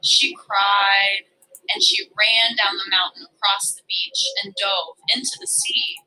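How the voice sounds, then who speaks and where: Woman doing a kids story read-aloud, really bright crisp edge, slow pace, bit of noise behind. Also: medium pitch, poor audio. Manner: dramatic, deliberate phrasing.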